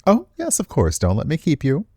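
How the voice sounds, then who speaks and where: A man's voice: fairly high pitch, huge pitch swings, a little fast, darkish tone, dry recording, clean studio sound, voice pushed up. A man voicing a well-meaning but condescending, nostalgic older woman in visual novel dialogue, with a genteel, airy manner. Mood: airy, apologetic.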